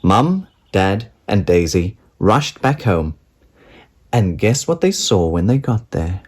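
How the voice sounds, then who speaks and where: An adult narrator reading a children's picture book aloud in an expressive storytelling style. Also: low voice, slow.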